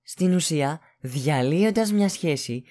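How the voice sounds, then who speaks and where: A woman voices a naive male underling in an audio drama, low in register with very lively intonation. He is fawning and deferential, quick to be led. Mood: doubtful, naive. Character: fawning, gullible young male assistant to a villainess. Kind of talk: Greek audio drama acting.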